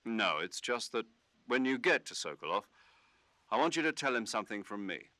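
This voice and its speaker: A forceful, bright-voiced major. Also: male voice, lowish voice, sing-song, normal speed, dry recording. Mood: reflective. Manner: authoritative, precise briefing. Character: composed male major commanding a covert mission. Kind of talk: dramatic video game acting.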